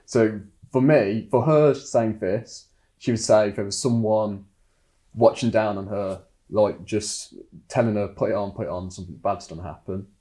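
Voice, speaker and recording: A man's voice: on the low side, moderate pace, mellow. A man casually telling a family story in a documentary interview.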